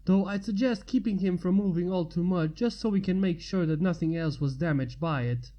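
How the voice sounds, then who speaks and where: A woman voicing a practical male hospital doctor in a story, calm, matter-of-fact and reassuring. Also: on the low side, brisk, muffled recording, lightly acted.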